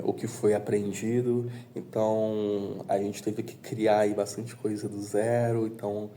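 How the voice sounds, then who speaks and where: A man giving a slow, filler-heavy testimonial as a student teaching assistant. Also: lowish voice, dark tone, slight background noise.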